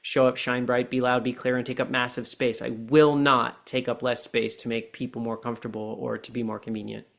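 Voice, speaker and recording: A man in his forties, a podcast guest and coach, talking earnestly and slowing down to stress his points. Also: medium pitch, level tone, vocal fry, a bit bright. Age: 40s. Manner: deliberate, earnest coaching tone, slows down for key points. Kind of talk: reflective podcast conversation.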